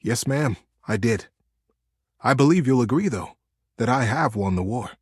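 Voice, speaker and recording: A man's voice: medium pitch, animated, normal speed, slightly rough, no echo, clean studio sound. A man voicing a humble young male beaver in a storybook tale, speaking in an earnest, respectful, solemn way. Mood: earnest, hopeful.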